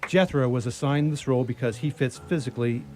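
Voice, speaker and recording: Wry male announcer over loud music. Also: mid-range voice, quick pace. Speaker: announcer of a radio theatre troupe. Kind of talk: scripted credits read live, with wry humour.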